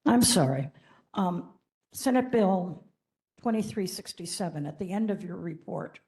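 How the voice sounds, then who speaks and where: A woman with a hoarse, somewhat low voice asks a question at a neighborhood board meeting. She is polite and slightly apologetic as she asks. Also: lively intonation, unhurried, darkish tone.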